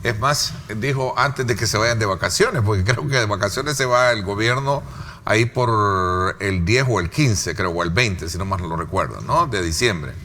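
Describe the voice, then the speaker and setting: A man with a Salvadoran accent hosting a political interview in a formal, wordy and halting manner. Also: on the low side, expressive, pushed and bright, slight background noise. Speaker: talk show host.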